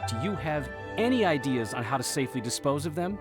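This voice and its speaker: A harried village man in a video game, voiced by an actor. He is fretful and exasperated, his pitch swinging lively up and down, with music playing behind him. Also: brisk, natural voice. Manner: fretful, complaining. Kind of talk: video game character acting.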